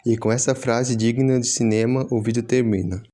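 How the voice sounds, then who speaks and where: A man's voice: mid-range voice, level tone, normal speed, dark tone. A man with a Brazilian accent narrating horror story recaps in an informal, explanatory, conversational way. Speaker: YouTube narrator.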